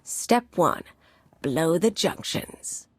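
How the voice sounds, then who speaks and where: A woman's voice: low-pitched, animated, extremely slow, husky, dry recording, heavily acted. An actress playing a brash, cynical female anarchist bomber in a video game cutscene, talking in a clipped, tough, sardonic way. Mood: cocky, brisk.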